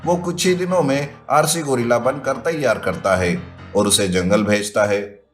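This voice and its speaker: A man's voice: mid-range voice, normal speed. A man reading scripted narration for a children's story as the storyteller. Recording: loud music behind voice.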